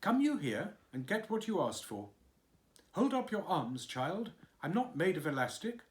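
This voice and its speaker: Male reader as old nurse, bossy and sharp, raised voice. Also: sits high, big pitch swings, pitched above the performer's own voice. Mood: brisk. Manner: brisk, sharp and scolding. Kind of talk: storybook reading.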